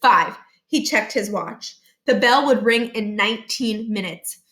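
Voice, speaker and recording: A woman reading a children's novel aloud as an expressive storybook narrator. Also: medium pitch, unhurried. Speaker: storybook reader.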